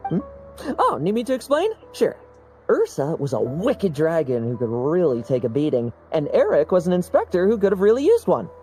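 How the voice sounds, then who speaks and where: A sharp-tongued knight woman in a game, low and mellow yet swinging widely in pitch as she teases. Soft music plays behind. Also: wildly swooping pitch, normal speed. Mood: wry. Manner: dry, teasing quips. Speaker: game character.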